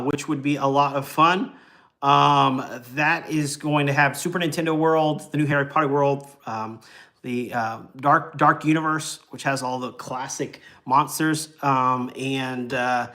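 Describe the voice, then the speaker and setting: A forty-year-old man chats loosely on a live travel stream, filling his pauses with ums and uhs. His voice sits a bit high for a man, and he sounds relaxed and unhurried. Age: 40s. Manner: rambling and easygoing, many ums and uhs, chuckles. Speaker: travel vlogger hosting a livestream. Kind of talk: casual livestream chat.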